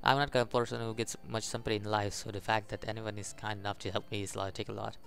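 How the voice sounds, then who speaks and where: Low-voiced, halting male gamer reading aloud. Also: flat delivery, creaky, a bit bright. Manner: halting, stumbles over the text. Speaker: gaming video host. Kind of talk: reading a visual novel aloud with casual commentary.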